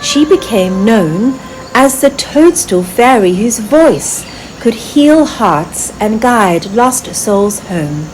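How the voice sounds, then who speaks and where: Woman, children's storybook narration, unhurried, lively rise and fall, noticeable background noise with birdsong. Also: fairly high pitch, rough recording. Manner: expressive, deliberate storytelling. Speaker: author reading own story.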